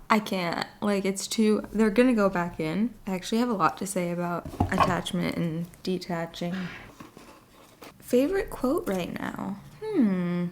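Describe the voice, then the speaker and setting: Solo female podcast host, casual rambler, voice tired and raspy with heavy vocal fry. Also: mid-range voice, expressive, a little slow, a little hiss or hum. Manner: rambling, filler-heavy talk with frequent "like". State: voice worn and slightly raspy from long use. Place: at home in her new apartment, late at night.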